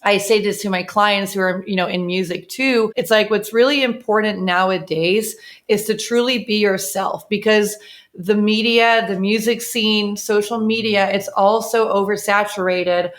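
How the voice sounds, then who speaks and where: Woman, from the Netherlands, interview guest, chatty, rambling, casual, mid pitch. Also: fairly even intonation, moderate pace. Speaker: PR agency owner, guest. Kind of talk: interview conversation.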